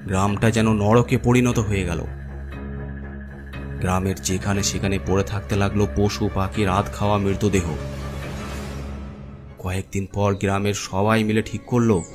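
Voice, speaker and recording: Low-voiced man narrating horror. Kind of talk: scripted first-person horror story narration. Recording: clear music bed under narration. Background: background music.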